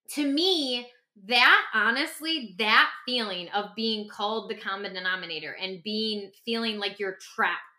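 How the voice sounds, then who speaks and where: A woman's voice: a little high, sharp tone. A woman author and podcaster explaining things conversationally, often voicing imagined dialogue as she goes. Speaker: podcast host and author being interviewed.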